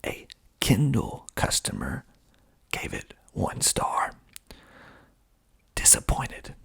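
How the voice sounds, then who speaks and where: Man whispering slowly, breathy ASMR. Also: fairly low pitch, huge pitch swings. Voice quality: breathy, mostly unvoiced whisper. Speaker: filmmaker and actor hosting an ASMR video. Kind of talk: whispered ASMR reading with commentary.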